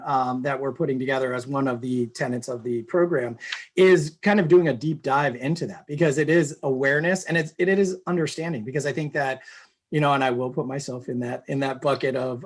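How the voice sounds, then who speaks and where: Male executive guest, earnest and upbeat, casual phrasing. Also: fairly high pitch. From New Hampshire, USA. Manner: earnest, upbeat, uses "you know" often. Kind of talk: conversational interview answers.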